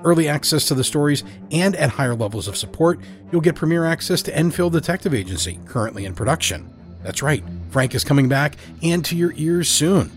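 A man hosting a horror podcast, reading a content warning and show announcements in a measured way. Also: mid-range voice. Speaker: podcast host. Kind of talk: scripted podcast intro.

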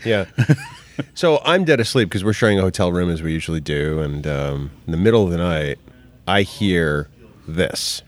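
A man's voice: low voice, expressive, normal speed. A man hosting a podcast, teasing his co-host in dry, casual banter. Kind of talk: casual chat. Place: hotel room at a convention.

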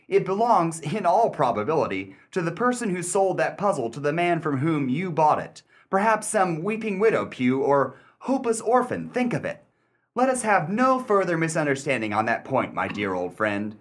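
A man playing a shrewd, suspicious gentleman collector in a radio play, theatrical and wordy, with mock-courteous condescension. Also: fairly high pitch, sing-song, normal speed. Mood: smug, condescending. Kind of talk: old-fashioned radio play acting.

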